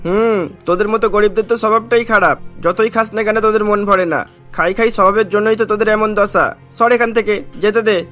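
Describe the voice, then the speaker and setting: A man's voice: high voice, fairly even intonation, a little fast, fairly bright tone, soft background music. A man playing a rude, arrogant rich customer. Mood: contemptuous. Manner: contemptuous, bossy.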